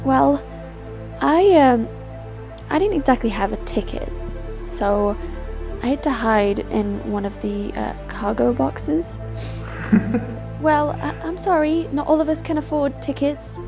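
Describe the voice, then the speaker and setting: A woman's voice: high-pitched, animated, a little slow, creaky, long pauses, heavily acted. An actress playing a tired, hesitant young woman travelling companion in an audio drama. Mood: sheepish, weary. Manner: hesitant, sheepish. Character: tired, hungry young female travel companion, shy but practical. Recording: music bed under the voice. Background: soundtrack music.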